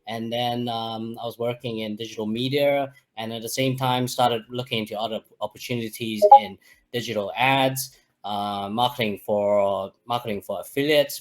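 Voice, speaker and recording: Relaxed man from Hong Kong. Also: mid-range voice, normal speed, rough recording. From Hong Kong, raised in the UK. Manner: relaxed, rambling storyteller. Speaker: guest. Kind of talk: casual interview chat.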